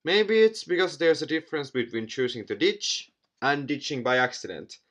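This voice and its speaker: A man's voice: a little high, lively intonation, moderate pace, fairly bright tone. A man hosting a gaming video, reading a visual novel aloud with theatrical flair and casual asides, stumbling over words. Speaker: video game let's player, host.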